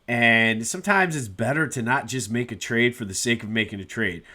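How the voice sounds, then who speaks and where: A man's voice: mid-range voice, moderate pace, sharp tone. A man hosting a solo sports podcast, a bit congested and nasal from a cold, talking casually with lots of "uh" fillers. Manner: conversational, with frequent "uh" fillers.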